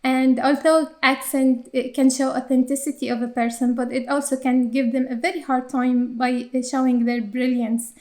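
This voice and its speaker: A woman's voice: sits high, flat delivery, moderate pace, few pauses, darkish tone. A young woman with an Arabic accent, answering interview questions in a hesitant way, with many fillers and restarts. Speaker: interviewee, company employee.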